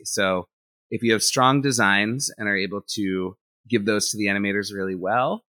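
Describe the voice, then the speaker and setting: A man speaks in a low, bright voice in a dry, close recording. He is talkative and thinks out loud, leaning on "like" as he explains his work as an animator and director in a relaxed interview. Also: dry recording. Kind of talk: casual interview conversation.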